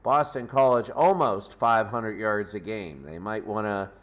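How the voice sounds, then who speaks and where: A man hosting a sports pregame show, commenting in a loose, unscripted and halting way. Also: lowish voice. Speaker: sports host.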